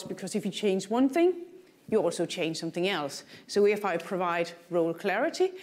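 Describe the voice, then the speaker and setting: A woman's voice: medium pitch, a little mellow. A middle-aged woman with a Danish accent, a native Danish speaker, gives a keynote lecture in a large conference hall on a lapel mic, with dry humor and questions for the audience. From Denmark. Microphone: lapel mic, walking the stage.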